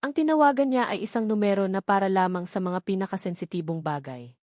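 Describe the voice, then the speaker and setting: A woman narrating a scripted romance story in a steady, measured way. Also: medium pitch, no echo, clean studio sound.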